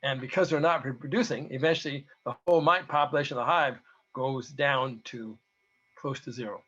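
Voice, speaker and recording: A man with a hoarse voice gives an informal, halting technical presentation, explaining data as a researcher. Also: mid-range voice, pushed and bright. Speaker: presenter, researcher giving a talk to beekeepers. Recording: dull, lo-fi audio.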